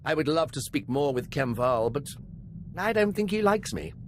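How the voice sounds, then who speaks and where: An eager, bookish archaeologist, fussy and chatty. His highish voice leaps about with scholarly enthusiasm in a video-game scene. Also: big pitch swings, normal speed, slight background noise. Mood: eager curiosity.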